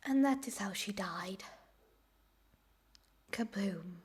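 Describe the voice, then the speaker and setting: A woman reacting casually to clips in a rambling, self-deprecating way, her voice hoarse and giving out from a cold. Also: mid-range voice, slow, very noisy. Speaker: host reacting to cartoon clips.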